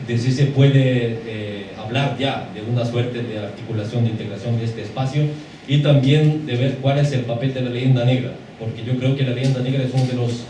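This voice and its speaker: A man who is not a native Spanish speaker, asking a long, hesitant question from the audience at a lecture. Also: medium pitch. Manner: hesitant, many fillers and restarts. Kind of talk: formal question from the floor. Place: lecture hall. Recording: echoey hall, distant mic, background noise.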